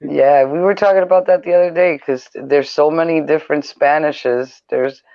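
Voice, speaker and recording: Stream host, male, gravelly voice, teasing and quick to laugh, dull lo-fi audio. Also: on the high side, steady tone, dry recording. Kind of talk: casual group chat. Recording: dull lo-fi sound.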